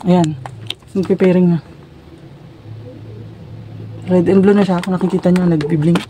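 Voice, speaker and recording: A woman's voice: on the low side, steady tone, normal speed, warm tone, slight background noise, low-quality recording. A Filipino woman chatting informally to her viewers in a casual unboxing and product demo vlog. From Philippines. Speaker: vlogger.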